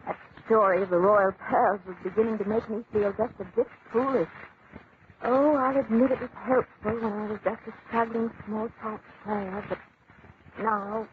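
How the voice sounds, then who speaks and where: An actress plays a young woman of the theatre in an old radio play. She is apologetic and softly hesitant, yet keeps a quiet dignity. The recording is thin and slightly noisy. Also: mid-range voice, moderate pace, phone-quality sound, natural voice. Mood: plucky. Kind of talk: 1940s radio acting.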